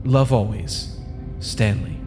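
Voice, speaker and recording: A man's voice: on the low side, steady tone, takes it slowly. An actor playing a lonely, sickly, heartbroken young man in a comic dub, earnest and emotive. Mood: tender, sorrowful. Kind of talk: dramatic comic dub acting. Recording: long reverb, music behind.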